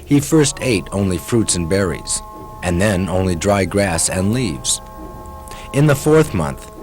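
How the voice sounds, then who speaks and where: A man narrates a devotional tale in a low-pitched voice. He reads scripted storytelling lines, with faint music underneath.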